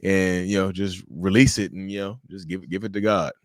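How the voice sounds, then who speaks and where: A man's voice: low-pitched, steady tone, moderate pace, no room sound, studio quality. A man co-hosting a live stream, advising callers in a casual, stumbling way that repeats words and uses "y'all". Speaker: co-host.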